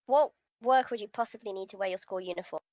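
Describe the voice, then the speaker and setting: A woman's voice: medium pitch, quick pace, darkish tone, no room sound, clean studio sound, lightly acted. A woman reading the part of a beleaguered, sarcastic teenage schoolboy in a visual novel, in a grumbling manner. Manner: grumbling, sardonic. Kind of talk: visual novel read-aloud.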